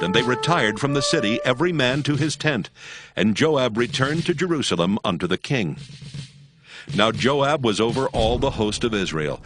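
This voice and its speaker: A man narrating scripture from a script in a measured, solemn manner. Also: medium pitch, a bit bright, slight background noise. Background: background music.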